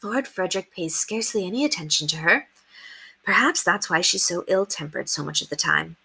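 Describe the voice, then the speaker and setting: A woman's voice: lowish voice, expressive, brisk, vocal fry, pushed and bright, slightly rough, full character voice, poor audio. A woman voicing a kindly, earnest fifteen-year-old governess in a reassuring, determined way. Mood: musing, sympathetic.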